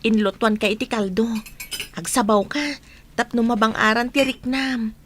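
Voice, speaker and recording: Kindly, higher-voiced village woman, played by an actress. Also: moderate pace, fairly bright tone, a little hiss or hum, close to an everyday voice. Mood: caring, coaxing. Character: kindly village woman Marcy, caring and gently coaxing. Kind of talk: radio drama acting in Ilocano.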